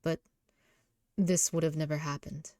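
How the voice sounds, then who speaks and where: Low and close, this is a brooding teenage tough guy from a visual novel, acted by a woman. He talks in a subdued, terse grumble, with a dry sound around him. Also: steady tone, measured pace, warm tone, no room sound. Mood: regretful. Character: gruff, brooding injured teenage delinquent boy.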